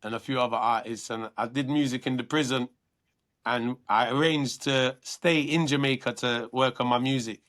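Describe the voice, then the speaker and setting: A man's voice: mid-range voice, steady tone, normal speed, fairly bright tone. London man telling his story. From London, England. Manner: earnest, reflective, many restarts. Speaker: guest artist. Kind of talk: relaxed interview talk.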